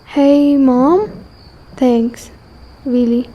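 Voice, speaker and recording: A woman's voice: sits high, very slow, lo-fi sound, exaggerated voice. A woman playing a guilt-ridden but resolute teenage war refugee in an amateur radio drama. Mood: grateful, subdued.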